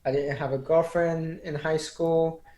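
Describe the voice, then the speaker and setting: An earnest man whose voice sits a little high, giving motivational advice as a podcast guest. He speaks in a speech-like, encouraging way, and English is not his first language. The sound is echoey, as if he is in a bare room. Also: flat delivery, measured pace, a little mellow. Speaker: interview guest, public speaking coach. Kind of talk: casual interview chat.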